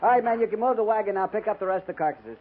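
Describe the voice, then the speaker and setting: An American actor playing an eager, respectful junior cavalry lieutenant in a 1950s radio western, earnest and a little hesitant. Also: male voice, sits high, very fast, narrow, tinny audio, lightly acted. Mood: brisk ordering. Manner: polite, earnest, slightly hesitant. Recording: thin, noisy 1950s radio recording.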